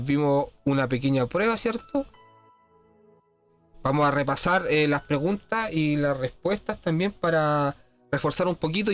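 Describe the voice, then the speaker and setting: A man, slightly congested with a cold, casually walking through quiz answers in a programming tutorial and peppering his talk with "¿cierto?". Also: a little high. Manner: keeps asking "¿cierto?", many fillers and restarts. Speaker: programming course instructor.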